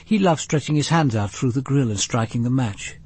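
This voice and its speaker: A man voicing a fussy, husky-voiced churchgoing spinster. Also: medium pitch, animated, moderate pace, darkish tone, dry recording. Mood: chatty. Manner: bustling, chatty. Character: fussy, chattering, well-meaning churchgoing spinster.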